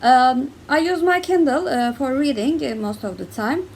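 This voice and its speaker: A young woman with a Turkish accent talks through her reading habits in a loose, halting way, full of fillers and self-corrections. Her voice sits high with a gravelly fry. A faint hiss of noise runs behind her. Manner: hesitant, many fillers and restarts, laughs often. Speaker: book vlogger. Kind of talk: casual chat to camera.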